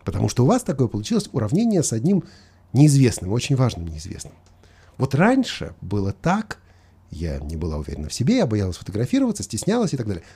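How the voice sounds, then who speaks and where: A man's voice: medium pitch, wildly swooping pitch, fast talker, dark tone, breathy edge. A man hosting a conversational talk show, questioning and arguing his points and acting out imagined remarks. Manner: argumentative, questioning, acts out imagined quotes.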